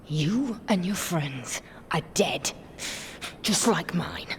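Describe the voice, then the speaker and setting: An actress playing a bitter, defiant young soldier of an empire in a dramatic game cutscene, scornful and often breathy. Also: female voice, fairly low pitch, lively intonation, very slow, slight background noise, full character voice. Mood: spiteful, weakened. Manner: scornful, sneering, breaking into breathy whispers.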